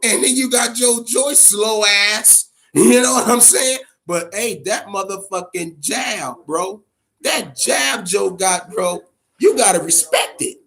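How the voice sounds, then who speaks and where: An American man with a fairly high-pitched voice hosts a casual boxing talk. He gives his opinions in emphatic, slangy, curse-heavy speech. He often repeats his phrases to drive them home. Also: takes it slowly, vocal fry, fairly bright tone. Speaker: boxing show host.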